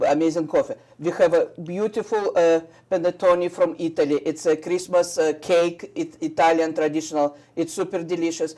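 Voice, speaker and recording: A woman from Russia with a Russian accent, a shop owner chatting eagerly about her products in halting English with many fillers. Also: low-pitched, darkish tone. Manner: enthusiastic, halting non-native English with fillers. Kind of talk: casual chat.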